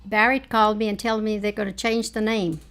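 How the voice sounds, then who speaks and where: A woman in her late seventies, reminiscing in a family oral history chat, rambling with frequent pauses and fillers. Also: mid-range voice, level tone, fairly bright tone. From Texas, United States. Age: 70s. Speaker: interviewee, mother.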